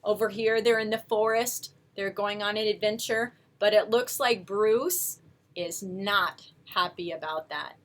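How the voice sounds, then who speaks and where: A woman storyteller reading a picture book aloud to young children, with expressive, coaxing asides to her listeners. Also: medium pitch, unhurried, slight background noise.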